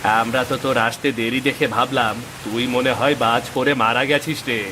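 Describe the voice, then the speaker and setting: A man voicing an anxious, excitable farmer in a cartoon moral story, exclaiming dramatically. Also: mid-range voice, moderate pace, lightly acted. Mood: astonished. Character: anxious, excitable male farmer, a scolding friend. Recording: loud rain noise behind the voice. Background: rain.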